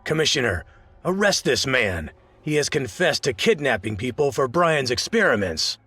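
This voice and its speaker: An actor playing a resolute, earnest detective in a video game, with a purposeful, theatrical delivery. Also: male voice, medium pitch, very animated, moderate pace, pushed and bright, husky, faint music behind, exaggerated voice. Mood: stern. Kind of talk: video game cutscene acting.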